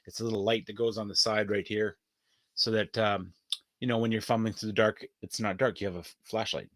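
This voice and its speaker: A man's voice: lowish voice, steady tone, brisk, fairly bright tone, no room sound. Chatty man in a dry room. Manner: chatty and upbeat, playful asides. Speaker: vlog host showing his collectibles. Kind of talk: casual show-and-tell chat to camera.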